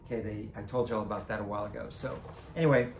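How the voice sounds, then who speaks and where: A man's voice: lowish voice, steady tone, fast talker, darkish tone, roomy sound, slight background noise. A man with a cracking, fading voice, chatting casually in a vlog, rambling, chuckling and correcting himself as he goes. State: losing his voice, cracking. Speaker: creator and seller of animatronic band items. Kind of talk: casual unboxing vlog chat.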